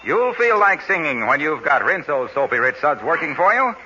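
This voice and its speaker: A male announcer giving a brisk, upbeat sales pitch in an old radio ad. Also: very animated, over loud music. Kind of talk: radio ad read. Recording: dull old radio broadcast, faint hiss.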